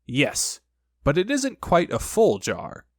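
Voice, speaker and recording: A man voicing a gentle, slow-witted storybook toy bear who muses in a simple, puzzled, childlike way. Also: a little high, wildly swooping pitch, dry recording, voice pushed up, heavily acted. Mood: earnest.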